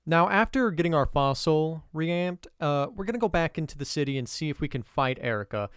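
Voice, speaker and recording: A young man hosting a let's play with casual commentary, his voice a little off from allergies, who swears and rants in sudden excited bursts. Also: fairly high pitch, fairly quick, clean tone, no room sound, clean studio sound. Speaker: gaming video host.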